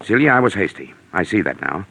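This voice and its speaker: An American actor playing a stern, suspicious middle-aged gentleman with a blustery, accusing temper in 1940s radio mystery style. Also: low voice, sing-song, fast, gravelly, breathy edge, full character voice, rough recording. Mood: urgent, persuasive.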